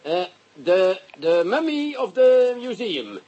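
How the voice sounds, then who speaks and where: An actor playing an impatient Amsterdam police detective in a radio play, who speaks in halting English on the phone. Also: male voice, sits high, sing-song, mellow, muffled recording, exaggerated voice. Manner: impatient, brusque, broken English. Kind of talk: radio drama acting.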